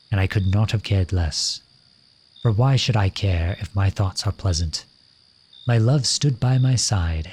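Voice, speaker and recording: A lovesick royal at a masquerade, played by a man, speaks in a fairly low voice. His phrasing is formal and courtly, addressed intimately to one listener as in a romantic audio roleplay. Crickets and night ambience are clearly audible behind him. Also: a little mellow, slight background noise, close to an everyday voice. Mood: wistful, tender.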